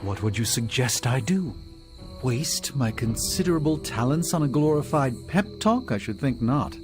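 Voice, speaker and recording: A man's voice: medium pitch, animated, moderate pace, lightly acted. A man voicing a haughty, eloquent sorcerer in a video game, pompous and arch. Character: haughty mystical sorcerer, eloquent, wry, condescending. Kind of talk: video game cutscene acting. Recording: music clearly behind, night insects.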